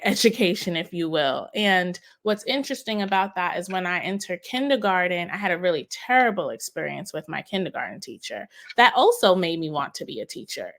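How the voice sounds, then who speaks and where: A woman's voice: lowish voice, a bit bright. Reflective woman telling her story. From United States, of Haitian parents. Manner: reflective, searching, with fillers and restarts. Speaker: guest, educator. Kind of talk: conversational interview storytelling.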